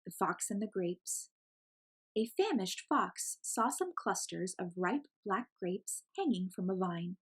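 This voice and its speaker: A woman reading a picture book aloud as an expressive storyteller for children. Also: medium pitch, slow pace, husky.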